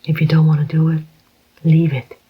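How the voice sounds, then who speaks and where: Scheming calm club owner, man, voiced by a whispering reader, soft breathy whisper, muffled recording. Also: on the high side. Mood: curt. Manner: unvoiced whisper, slow and even. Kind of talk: whispered audiobook reading. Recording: dull lo-fi recording.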